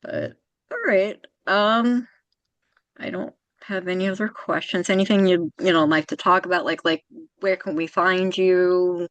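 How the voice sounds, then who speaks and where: Brief: woman podcast host, youthful sound, slightly low pitch, dry close sound, chatty and rambling. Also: steady tone, unhurried, a bit bright, dry recording, highs cut off. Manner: chatty, rambling, with frequent restarts. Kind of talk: casual interview chat.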